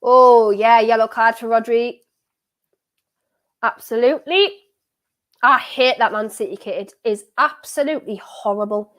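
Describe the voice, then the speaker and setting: A woman with a softened County Durham accent hosts a live football watchalong. Her voice sits a little high and she talks in a nervous, excitable ramble, often circling back to the same words. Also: measured pace, pauses often. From North East England. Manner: anxious, excitable, rambling and self-repeating. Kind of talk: live match reaction chat.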